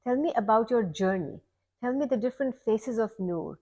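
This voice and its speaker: A woman's voice: a little high, normal speed, mellow, breathy edge. A woman hosting a casual interview, musing aloud and affirming her guest. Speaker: host.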